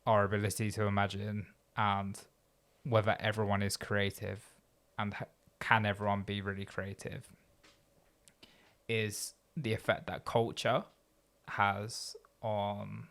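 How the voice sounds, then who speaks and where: Low gravelly male podcast host. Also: level tone, measured pace, fairly bright tone. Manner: thoughtful, rambling questions with restarts. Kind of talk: conversational interview.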